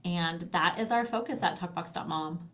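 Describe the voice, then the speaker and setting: A woman's voice: fairly low pitch, normal speed, a bit bright. A woman speaking as an interview guest, explaining things conversationally with acted-out quotes and frequent tag questions. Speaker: interview guest, company founder.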